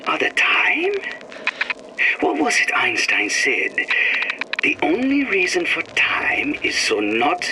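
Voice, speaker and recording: An actor voicing a puzzled, methodical scientist in a game audio log, halting and wondering, with clipped grammar. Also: male voice, fairly high pitch, wildly swooping pitch, full character voice. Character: puzzled, methodical scientist logging strange observations. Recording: noisy old audio-log recording.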